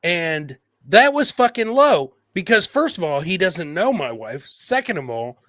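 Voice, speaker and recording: Male podcast host, American accent, slightly high voice, blunt casual talk with plenty of swearing, very little room sound. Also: very animated, measured pace, a bit bright, no room sound, studio quality. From Michigan, United States. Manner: brash, blunt, swears freely. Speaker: host of a live comedy podcast. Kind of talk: casual live-stream chat.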